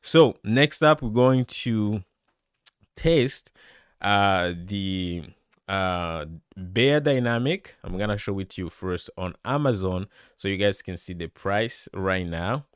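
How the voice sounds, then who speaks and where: A man with a deep, bassy voice, chatting excitedly with lots of fillers as he reviews microphones on a live stream. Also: slow, smooth, no room sound, studio quality. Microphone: studio dynamic microphone close up.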